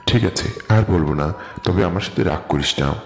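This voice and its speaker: A man's voice: low voice, moderate pace, natural voice. A man playing an affectionate, careworn old uncle in an audio story, speaking tenderly like a father. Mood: tender, solemn. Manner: tender, fatherly, addressing a younger man fondly. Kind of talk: dramatised audio story acting. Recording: echoey reverb with music behind.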